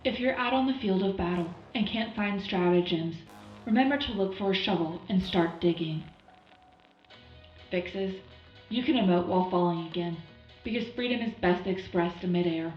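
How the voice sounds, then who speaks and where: A woman's voice: on the low side, normal speed, echoey room, highs cut off, soft background music, close to an everyday voice. A woman playing an upbeat, zealous wartime radio presenter, reading news in a punchy, propaganda-slogan style. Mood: upbeat, patriotic. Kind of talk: scripted in-universe radio news update.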